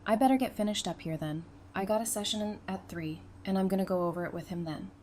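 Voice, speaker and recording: A woman's voice: mid-range voice, fast, mellow, slight background noise, close to an everyday voice. A woman playing a practical, easygoing survivor in an audio drama, speaking in a matter-of-fact, conversational way.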